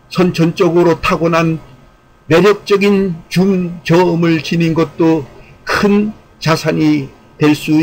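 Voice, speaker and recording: Higher-pitched man reading formal commentary. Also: poor audio. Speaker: online channel host and commentator. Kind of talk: scripted commentary, read aloud in a formal essay style.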